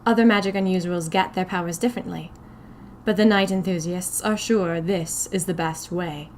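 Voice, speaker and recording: A woman's voice: on the low side, fairly even intonation, normal speed, a little mellow, slight background noise, natural voice. A woman voicing a reserved, kindly young man of a secret magical society in audio fiction, polite, hesitant and earnest. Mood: guarded, sad.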